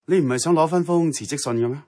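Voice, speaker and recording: An actor playing a blunt, seasoned radio station boss in a Cantonese radio drama, middle-aged, with a Hong Kong accent and a dry, challenging manner. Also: male voice, fairly high pitch, racing, warm tone, lightly acted.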